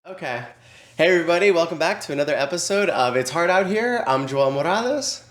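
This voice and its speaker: A man's voice: on the high side, lively intonation, a little fast, echoey room, soft background music. A man hosting a podcast, an upbeat and eager interviewer. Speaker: podcast host. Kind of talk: casual interview chat.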